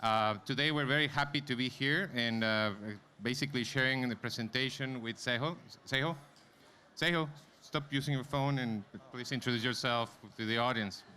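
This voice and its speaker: Bright-voiced man presenting, noisy live room. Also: mid-range voice, moderate pace. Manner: informal, addresses the audience directly. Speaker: conference presenter, company vice president. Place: conference stage before an audience. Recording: clear background noise, live event room.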